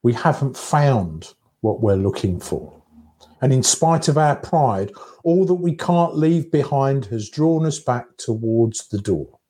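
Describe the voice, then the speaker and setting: Man, preacher, low-pitched, unhurried pace, contemplative, phrase-by-phrase reflective sermon. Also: animated, dark tone. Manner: measured and contemplative, with pauses between short phrases.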